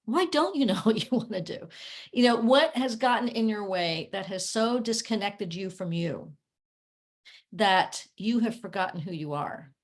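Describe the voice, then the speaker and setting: Woman, guest coach, mid pitch. Earnest, reflective explainer in a conversational online interview. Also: lively intonation, a little slow. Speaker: guest, coach working with clients. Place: remote video call.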